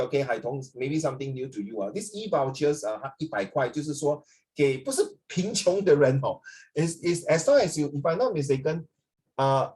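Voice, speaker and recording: A man's voice: a little high, darkish tone, husky, poor audio. A man with a Singaporean English accent, recovering from flu, teaching a social studies class over a video call, switching between English and Mandarin and checking in with students by name.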